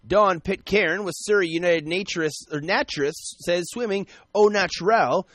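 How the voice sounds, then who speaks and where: A man reading the news on the radio in a brisk, even newscaster delivery from a studio. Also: sits high, no echo. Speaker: radio newsreader.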